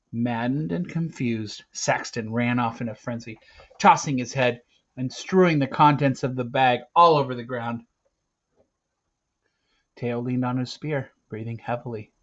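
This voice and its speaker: A man reading an adventure novel aloud in a dramatic, emphatic way, swinging between hushed and loud delivery. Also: mid-range voice. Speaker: reader of a children's adventure novel. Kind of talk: dramatic read-aloud narration. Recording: dull, lo-fi recording.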